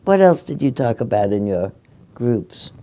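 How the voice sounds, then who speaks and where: Older woman, meditation teacher, mellow and gentle, halting delivery. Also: extremely low pitch, big pitch swings. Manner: gentle, halting, restarts often. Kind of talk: dharma talk with audience questions. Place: meditation hall.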